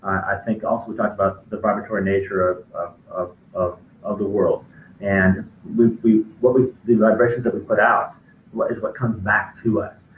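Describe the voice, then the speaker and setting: A man's voice: on the low side, fairly even intonation, moderate pace, narrow, tinny audio. A man hosting a casual conversation, rambling and searching for words, playful at times. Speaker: host. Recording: echoey room with faint noise.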